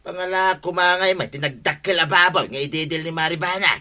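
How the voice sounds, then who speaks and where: A man's voice: fairly high pitch, steady tone, a little fast, pushed and bright. A man with an Ilocano accent acting as an apologetic, hard-drinking village man in a radio comedy drama. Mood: complaining.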